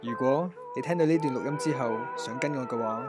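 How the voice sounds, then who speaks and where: A man's voice: mid-range voice, brisk, close to an everyday voice. Casting: male actor as a boastful, lovesick mob boss, Hong Kong accent, theatrical comic radio delivery, music underneath. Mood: lovestruck, solemn. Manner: melodramatic, swaggering. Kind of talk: comic radio drama acting.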